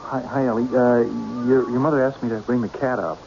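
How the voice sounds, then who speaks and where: An actor playing a bashful, awkward young delivery man in an old-time radio mystery, stammering and halting. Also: mid-range voice, steady tone, darkish tone, natural voice. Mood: nervous, bashful. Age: young adult. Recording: muffled old radio with faint hiss.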